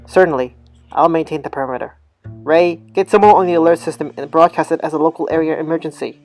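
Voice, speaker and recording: A man playing a calm, thoughtful senior police investigator in an amateur audio drama. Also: a little high, fast, soft background music, natural voice. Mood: thoughtful, measured.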